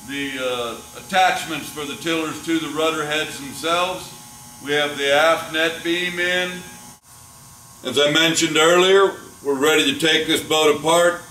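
An older man, a boat builder, giving a plain, unhurried walkthrough of a catamaran under construction, with frequent fillers. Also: on the high side, bright, forceful tone, distant mic. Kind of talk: casual walkthrough tour. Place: large boatbuilding warehouse, indoors. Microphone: camera mic, speaker often several steps away. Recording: echoey warehouse, voice from off-mic, faint hum.

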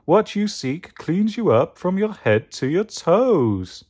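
Man doing a groovy laid-back hippie mango, raised pitch. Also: big pitch swings, slow pace, darkish tone, breathy edge, exaggerated voice. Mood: relaxed, advising. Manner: drawling hippie slang, soothing advice. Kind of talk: children's storybook character voice.

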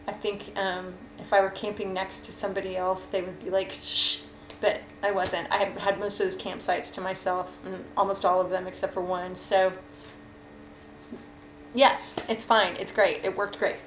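A woman in her thirties talks through her hiking gear in a frank, rambling way, with plenty of "um" and "like." Her voice stays flat and even in pitch. It is caught by a webcam in a bouncy home kitchen with a faint hum of ambience behind her. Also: normal speed. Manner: chatty, rambling, frank, many fillers. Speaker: hiking vlogger. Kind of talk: casual gear review. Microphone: webcam at desk. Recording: echoey room, faint ambience.

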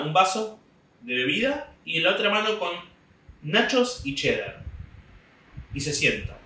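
A man's voice: a little high, pushed and bright, roomy sound, recorded from a distance, poor audio. A young man with an Argentine Spanish accent telling a rambling comic story in a casual monologue. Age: young adult. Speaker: comedian podcast host. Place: small home room indoors. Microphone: desk mic on stand, camera at distance.

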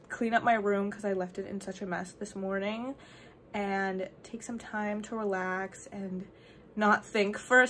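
Young woman, college vlogger, chatty filler-heavy delivery, phone held at arm's length, average pitch. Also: a little hiss or hum. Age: young adult. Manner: chatty, peppered with "like". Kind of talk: casual vlog chat to camera. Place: small dorm room.

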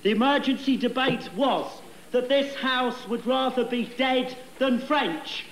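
A slightly hoarse man reading the minutes to a large debating hall with jokey formality. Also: very high voice, measured pace. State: a little hoarse. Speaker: society secretary reading minutes. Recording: echoey hall.

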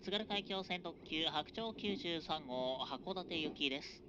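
A man announces stops and connections over a train's PA in a formal, drawn-out cadence. The sound is dull and muffled, as if picked up from a seat. A loud rumble of the moving train sits behind him. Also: expressive. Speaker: train conductor. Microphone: train PA system recorded from a passenger seat. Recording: dull, noisy onboard PA recording.

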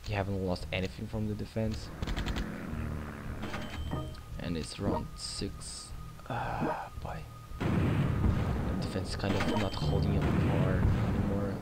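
Young man, Brazilian Portuguese accent, low-ish voice, casual rambling game commentary, loud game music behind. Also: measured pace. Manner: relaxed, rambling with many fillers and restarts. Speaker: gaming channel host.

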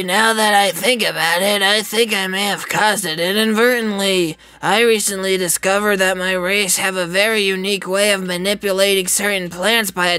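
A woman's voice: mid-range voice, bright, forceful tone, no echo, lightly acted. A woman voicing a polite, earnest young alien plant girl in a comic dub.

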